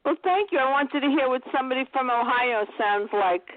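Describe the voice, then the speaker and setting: A woman's voice: high-pitched, animated, a little fast. A woman with a New Jersey accent calling in to a talk show, friendly and chatty. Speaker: caller. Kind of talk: casual chat. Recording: phone-like call audio.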